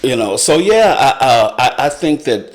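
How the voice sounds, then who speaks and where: A middle-aged man in a casual panel discussion, speaking haltingly and repeating his words as he thinks. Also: mid-range voice, sing-song. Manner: halting, repeats words, often says "you know". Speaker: panelist on a talk show.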